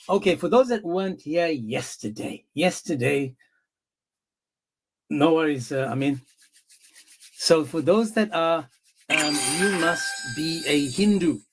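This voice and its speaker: A male live-stream host with a slightly high-pitched voice. He taunts and badgers his guests, cutting in over them in a confrontational way. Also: expressive, takes it slowly, pauses often, husky. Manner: mocking, confrontational, talks over others. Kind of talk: heated live-stream debate.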